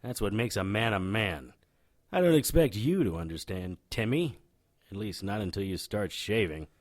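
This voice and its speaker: An actor playing a cocky, sardonic occult investigator in an audio drama, with a wry, taunting delivery. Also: male voice, lowish voice, lively intonation, moderate pace, no room sound, clean studio sound, close to an everyday voice. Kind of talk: audio drama acting with noir-style narration.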